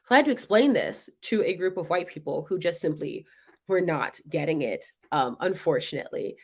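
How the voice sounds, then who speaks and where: A young woman from North Carolina, hosting a web show and talking through her stories in a casual monologue, lively when she quotes other people. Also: lowish voice, brisk, husky. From North Carolina, United States. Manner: animated, acts out others' voices in quotes.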